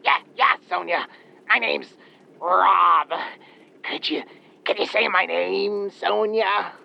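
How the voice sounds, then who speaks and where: A performer voicing a creepy, heavy-breathing man calling a radio show, halting and needy on a muffled line. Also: medium pitch, heavily acted. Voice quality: breathy, gravelly. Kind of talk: radio call-in roleplay. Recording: dull, muffled phone-in line.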